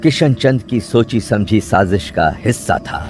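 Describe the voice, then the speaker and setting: A male narrator tells a suspense story in a fairly low voice. His delivery is scripted, dramatic and measured, with music clearly playing behind him. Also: animated.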